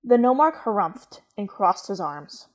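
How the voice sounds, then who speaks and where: Woman narrator, measured storytelling. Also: medium pitch, sing-song.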